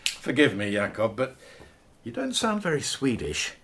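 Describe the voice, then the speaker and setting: A well-meaning village vet in a radio drama, hesitant and full of ums. His voice rises and falls with lively movement. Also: mid-range voice, measured pace, slight background noise, exaggerated voice. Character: awkward well-meaning village vet, middle-aged man.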